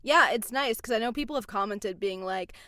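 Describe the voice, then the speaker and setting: A woman, a voice actress answering interview questions in an animated, chatty way. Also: fairly high pitch, brisk, no room sound, studio quality. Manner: chatty, animated, laughs between phrases. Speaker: voice actress guest.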